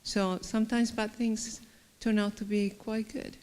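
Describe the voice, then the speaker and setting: Smooth-voiced woman with Chilean accent. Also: mid-range voice, level tone, unhurried, darkish tone. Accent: Chilean Spanish. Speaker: panelist. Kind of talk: panel self-introduction.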